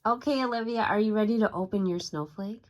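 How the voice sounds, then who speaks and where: A woman's voice: mid-range voice, fast, lo-fi sound. A woman teaching a craft step by step to young children, speaking as a preschool teacher in simple, encouraging phrases.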